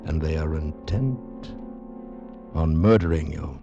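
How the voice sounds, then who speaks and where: An actor playing an ominous, dramatic narrator in an old radio suspense teaser, deliberate and urgent. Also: male voice, rumbling low. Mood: menacing, urgent. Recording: dull old radio broadcast, music underneath. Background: dramatic music.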